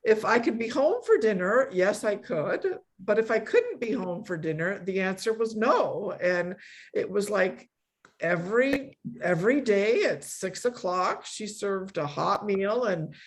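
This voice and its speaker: A middle-aged woman speaks as the host of an online meetup. Her talk is casual and effusive, with words repeated for emphasis. Her pitch sits in a medium range for a woman. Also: expressive. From born in Poland, grew up in a Polish immigrant family. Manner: effusive, emphatic repetition, quick to laugh. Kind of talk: casual chat, thanking a guest.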